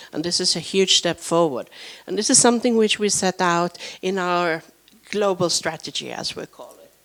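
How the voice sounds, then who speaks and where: A hoarse woman in her fifties, sick with a flu, giving a halting conference talk into a handheld microphone on a panel. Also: lowish voice, fairly bright tone. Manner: halting, searching for words. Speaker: panel speaker. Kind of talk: conference presentation.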